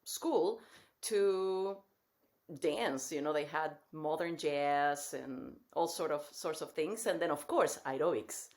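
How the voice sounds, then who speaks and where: A woman in her forties from Mexico with a Mexican Spanish accent, a guest telling her story in a lively way on a podcast. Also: low-pitched, slow pace. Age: 40s. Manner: lively storytelling with frequent laughs. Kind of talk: podcast interview.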